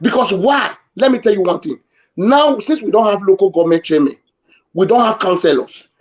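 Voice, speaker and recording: A Nigerian man pushes his argument in a live town hall debate. His voice sits high and carries an insistent, repetitive drive. The audio is muffled and lo-fi. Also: expressive, brisk. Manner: emphatic, argumentative, repeats points.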